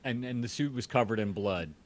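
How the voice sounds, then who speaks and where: A man's voice: mid-range voice, a little fast. A man co-hosting a livestream in casual chat, with a dry, offhand manner. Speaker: co-host of a livestream. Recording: music playing behind the voice. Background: music.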